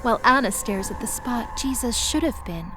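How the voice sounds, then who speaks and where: A woman's voice: fairly high pitch, lively intonation, moderate pace, background noise. A woman narrator reading a scripted dramatic story. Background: music bed.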